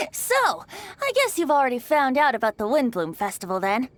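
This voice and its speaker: A woman's voice: high-pitched, huge pitch swings, normal speed. A woman voicing an impish, boyish young bard in a video game, with a theatrical, teasing, flowery way of talking. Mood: playful, teasing. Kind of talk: English video game voice acting. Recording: music behind the voice.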